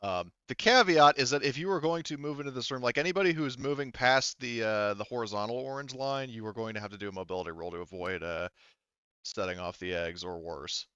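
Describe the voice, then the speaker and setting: A man narrating a tabletop roleplaying game as game master, halting as he thinks aloud, through a muffled mic. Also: medium pitch, sharp tone, clear, resonant tone, dry recording.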